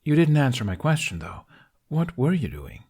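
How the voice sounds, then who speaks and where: A man's voice: medium pitch, huge pitch swings, normal speed, darkish tone, breathy edge, pitched above the performer's own voice, exaggerated voice. A man voicing a playful, curious young man with a cheeky, eager manner in a story reading.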